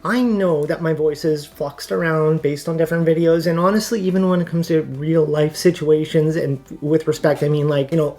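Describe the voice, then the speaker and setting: A woman's voice: sits low, darkish tone. Candid chatty woman podcast host. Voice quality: voice held up with a raised voice box. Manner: candid, chatty, laughs easily. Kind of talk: casual monologue answering viewer questions.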